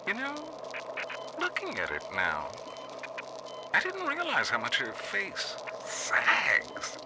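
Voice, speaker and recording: A woman's voice: lowish voice, wildly swooping pitch, slow, full character voice. A woman voicing a detached, unhinged surgeon, musing during an operation in a recorded video game scene. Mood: eerily absorbed. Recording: noisy old audio-diary recording.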